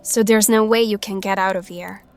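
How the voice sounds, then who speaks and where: An actress playing a young magic user, wary yet kind-hearted, in a measured audio drama style. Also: female voice, medium pitch, fairly even intonation, darkish tone, a little hiss or hum, lightly acted.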